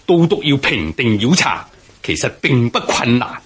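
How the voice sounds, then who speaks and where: Principled young-rank officer, hong kong cantonese radio drama, really raspy, big pitch swings. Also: male voice, big pitch swings, unhurried, a bit bright, heavily acted. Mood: earnest, urging. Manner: earnest, formal, emphatic. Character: earnest, principled male junior military officer. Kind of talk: Chinese historical radio drama acting.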